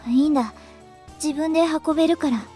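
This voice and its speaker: A woman playing a polite, composed older high school girl with formal, boyish phrasing in a visual novel game. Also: rapid-fire, dark tone, soft background music, close to an everyday voice. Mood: calm, courteous. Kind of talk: Japanese visual novel voice acting.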